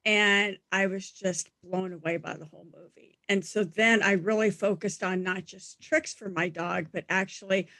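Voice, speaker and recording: A woman's voice: medium pitch, normal speed, hardly any pauses, dry recording. Scratchy-voiced woman telling stories. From Denver, USA. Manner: animated anecdotal storyteller. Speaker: guest, animal talent agent. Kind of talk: casual interview storytelling. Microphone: studio mic.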